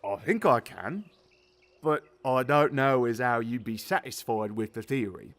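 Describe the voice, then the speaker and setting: Man acting middle-aged zookeeper, sly joker, very lively intonation, colloquial rambling storyteller, audio drama. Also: mid-range voice, unhurried. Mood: sly, modest. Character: chatty working-class zookeeper, sly, joking, homespun philosopher.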